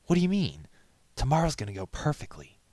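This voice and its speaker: Man as a proud stallion artisan, earnest, assured, voice raised in pitch, storybook hero. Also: huge pitch swings, brisk, dark tone, no room sound. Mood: defensive. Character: proud, confident male pony glassblower, earnest artisan.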